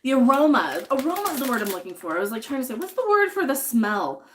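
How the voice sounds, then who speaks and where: A woman's voice: high-pitched, very animated, fast, a bit bright, slight background noise. A woman chatting casually on a livestream while she cooks in her home kitchen, sniffly from chopping jalapenos and reading viewer comments aloud. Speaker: livestream host cooking.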